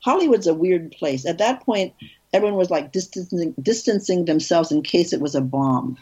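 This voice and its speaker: A husky, fairly low-voiced woman recounting her career as a director in an easygoing, rambling way. She restarts sentences often and talks with animated emphasis. The recording sounds dull and lo-fi. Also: normal speed. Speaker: film director, interview guest. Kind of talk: casual interview storytelling.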